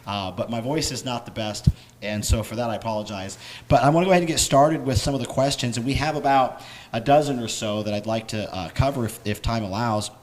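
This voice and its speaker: Hoarse pastor with a worn voice. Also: male voice, mid-range voice, a little hiss or hum. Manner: plain, halting, many fillers. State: hoarse, lost his voice. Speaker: pastor hosting a Q and A. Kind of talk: casual church panel talk.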